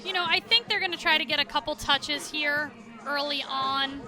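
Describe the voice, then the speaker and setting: American female color analyst, high register, conversational and explanatory, live outdoor stadium with crowd noise. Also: moderate pace. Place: outdoor stadium broadcast position. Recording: crowd and music behind, outdoors. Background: crowd and music.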